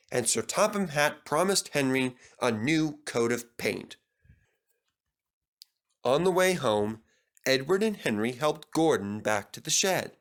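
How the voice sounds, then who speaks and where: A man's voice: mid-range voice, fairly bright tone. A man narrating a scripted children's story as a storybook reader.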